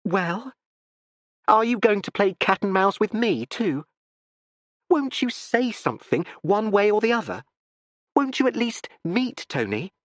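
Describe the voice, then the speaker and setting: A defiant young woman from a storybook pleads in a raised, breathless voice, as a male narrator puts her on. Her voice swings widely in pitch. The sound is dry and close. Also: big pitch swings, a little slow, no echo. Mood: pleading. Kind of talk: audiobook character reading.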